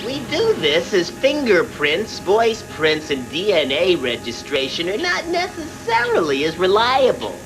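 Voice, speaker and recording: Officious cartoon machine, impersonal, lilting pitch, music behind, old VHS dub. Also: female voice, huge pitch swings, measured pace, hardly any pauses, exaggerated voice, poor audio. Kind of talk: anime dub acting.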